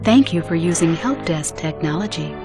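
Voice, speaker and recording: A woman's voice: on the low side, expressive, moderate pace, natural voice. A woman voicing a polite automated help desk guide in a video game, formal and instructional. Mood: neutral, polite. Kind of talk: video game announcer acting. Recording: loud music over the voice.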